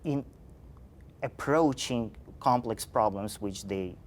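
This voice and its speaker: Slow-spoken man. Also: on the low side, slight background noise. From Ukraine. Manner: slow, searching for words, many pauses. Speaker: interview guest.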